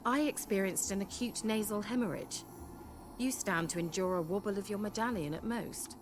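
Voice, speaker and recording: An actress playing a vain, sharp-tongued sorceress in a video game, speaking in a haughty, wry, coaxing manner. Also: female voice, medium pitch, moderate pace, noisy background, lightly acted. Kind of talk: video game cutscene acting. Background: game soundtrack music.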